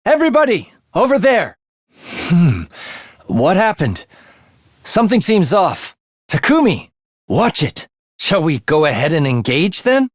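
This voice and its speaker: A man's voice: sits high, huge pitch swings, a little slow, pauses often, no room sound, clean studio sound, exaggerated voice. A man voicing an eerie, bloodthirsty young male student in a video game, with a sinister, theatrical manner that savors morbid details. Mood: urgent. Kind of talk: video game character voice acting.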